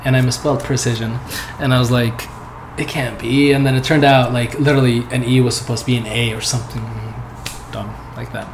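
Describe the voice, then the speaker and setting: A young man, a software developer whose first language is not English, chatting casually as a podcast guest in a loose, rambling way with lots of fillers. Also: mid-range voice, brisk, over music. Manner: relaxed, rambling, laughs often, many "like"s. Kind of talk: casual interview chat. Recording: echoey room with background noise.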